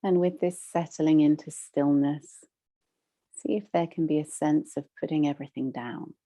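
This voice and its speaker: Woman doing guided meditation, really soft mellow tone, low pitched, soothing n unhurried w long pauses. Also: fairly even intonation. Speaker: meditation teacher.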